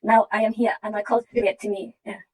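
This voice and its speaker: A woman from Iraq, earnestly sharing a personal testimony with halting restarts. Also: mid-range voice, little pitch movement, darkish tone, far from the mic, poor audio. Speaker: program participant sharing her story.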